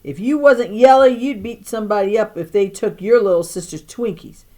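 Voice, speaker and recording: A woman voicing a loud, stubborn little first-grade girl in a storybook, with screechy, rustic, ungrammatical speech. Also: mid-range voice, normal speed, voice pushed up, close to an everyday voice. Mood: tearful, accusing.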